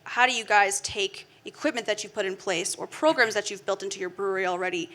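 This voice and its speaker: Bright-voiced woman moderating a panel. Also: medium pitch, quick pace. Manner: conversational, framing long questions with fillers. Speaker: moderator, trade-press editor.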